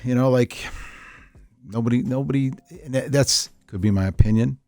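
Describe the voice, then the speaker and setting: A man's voice: mid-range voice, moderate pace, darkish tone, dry recording. A middle-aged man in casual unscripted podcast chat, opinionated and joking, rambling as he goes. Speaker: podcast co-host.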